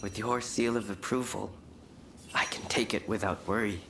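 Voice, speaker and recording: A man's voice: on the low side, steady tone, moderate pace, gravelly, noisy background. A man voicing a grave, stubborn and loyal ailing samurai swordsman in a dramatic video game cutscene. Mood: resolute.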